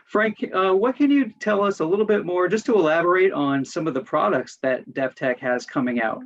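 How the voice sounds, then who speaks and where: A man's voice: high-pitched, fairly quick, lo-fi sound. A man interviewing a guest for a trade magazine, prompting him in a conversational way. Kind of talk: interview questions.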